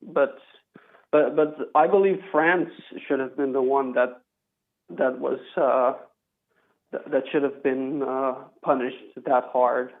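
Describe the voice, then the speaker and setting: A young man from Flanders calls in over a thin, tinny phone line. His voice is flat and even, and he talks in halting bursts full of fillers and restarts. He sits at an ordinary middle pitch. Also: gravelly. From Belgium, Flanders. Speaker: caller. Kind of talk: phone-in call.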